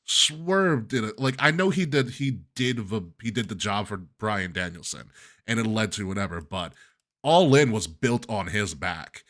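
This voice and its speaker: Male co-host with a lowish, bright, forceful voice, opinionated and animated. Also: moderate pace. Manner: opinionated, animated commentary, laughs often. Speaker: podcast co-host. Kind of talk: casual chat.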